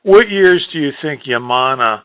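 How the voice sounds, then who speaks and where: A man's voice: fairly high pitch, lively intonation, moderate pace, dry recording. Male analyst, phone-in questioner, mid-range voice, dry narrowband sound. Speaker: analyst caller. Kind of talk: asking questions on earnings call.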